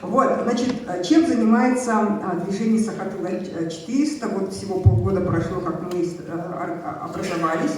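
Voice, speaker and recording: Halting woman speaking in an echoey hall. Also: mid-range voice, a little mellow. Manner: halting speech with many fillers, earnest and pleading. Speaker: head of a language movement. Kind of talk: speech at a public forum. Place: large conference hall. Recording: echoey hall, far from the mic, faint background hiss.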